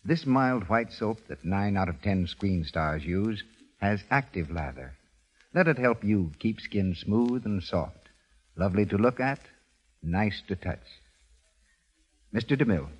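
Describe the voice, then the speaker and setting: A male announcer on an old 1930s radio broadcast. His voice is low and slightly rough. He delivers scripted program and sales copy. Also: lively intonation, unhurried, dull audio.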